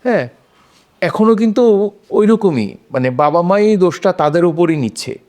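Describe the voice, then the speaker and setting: A man's voice: sits high, lively intonation, moderate pace, a little mellow. A man on a discussion panel, explaining his opinions on a short story in a casual conversational way.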